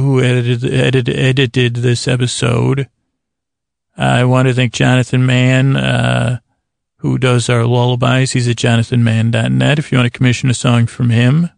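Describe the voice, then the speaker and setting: A man hosting a bedtime podcast in a halting, meandering monologue, repeating himself, with a gravelly voice close to the microphone. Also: medium pitch, dry recording. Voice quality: gravelly, creaky. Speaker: podcast host. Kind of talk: rambling bedtime monologue to help listeners sleep.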